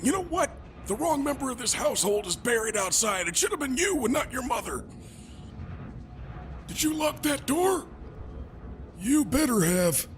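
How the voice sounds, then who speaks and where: A mean, middle-aged backwoods dad in an audio drama. His voice is strikingly high and harsh, swinging widely as he barks orders and threats. Faint music sits behind him. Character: cruel bullying rural father, quick to rage and threaten.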